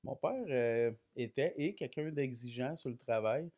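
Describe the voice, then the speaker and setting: A man's voice: medium pitch, a little slow. Quebecois man telling his story. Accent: Quebec French. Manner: relaxed, self-deprecating storyteller with many fillers. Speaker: podcast guest. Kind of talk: casual chat.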